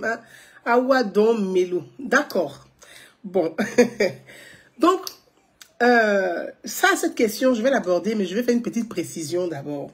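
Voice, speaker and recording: A woman's voice: mid-range voice, breathy edge. A woman, giving live political commentary to viewers, emphatic, repeating her points and asking rhetorical questions.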